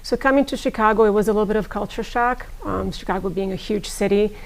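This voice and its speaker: A middle-aged woman from Serbia, whose first language is Serbian, answering interview questions in a measured way with frequent pauses. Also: medium pitch, rough recording. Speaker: guest, pharmacist.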